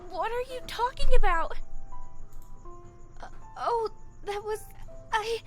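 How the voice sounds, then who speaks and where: A shy, meek teenage schoolgirl from a visual novel, acted out by a man, in an extremely high voice. She speaks slowly and haltingly, easily flustered, with music playing behind her. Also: fairly bright tone, exaggerated voice. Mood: flustered, disbelieving. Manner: halting, timid, stammering speech.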